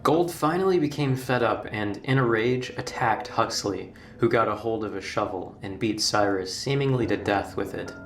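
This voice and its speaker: A man narrating a scripted horror documentary in a measured, formal storytelling manner. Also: lowish voice. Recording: roomy, distant playback with music. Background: background music.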